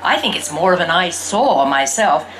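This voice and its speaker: A woman reading a storybook in the first person as an exuberant young girl who fancies herself a fairy princess, with theatrical, drawn-out emphasis. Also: fairly low pitch, expressive, noisy background, close to an everyday voice. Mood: bright, self-assured.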